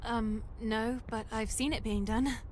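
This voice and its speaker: An actress playing a rebellious, impulsive runaway teenage maid in a video game, with a cheeky, eager manner. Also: female voice, on the high side, steady tone, unhurried, a little hiss or hum, natural voice. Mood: determined. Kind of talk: video game cutscene acting.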